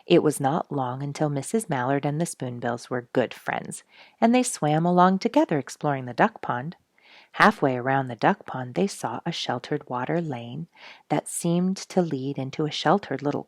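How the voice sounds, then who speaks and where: Low-voiced, animated woman storyteller. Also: moderate pace, no echo, clean studio sound. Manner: expressive, storybook phrasing. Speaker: storyteller for children. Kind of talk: read-aloud children's story narration.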